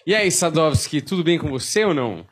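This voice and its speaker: A man's voice: on the high side, expressive, fairly quick, breathy edge. A man hosting a film podcast, opening with jokey, wry introductions and then chiming in with short replies. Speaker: podcast host. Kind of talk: casual podcast chat.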